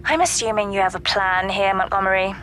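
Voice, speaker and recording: A woman's voice: mid-range voice, fairly quick, a little hiss or hum, natural voice. A woman playing a stern, commanding agency handler in a game, giving orders with urgent authority. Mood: cautioning. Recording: loud music behind.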